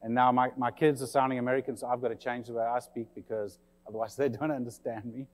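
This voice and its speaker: A man's voice: medium pitch, level tone, fast talker, in a large hall. A man in his forties with a South African accent, giving a friendly, chatty conference talk with many fillers. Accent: South African, slightly English-tinged. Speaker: conference presenter. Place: conference session room.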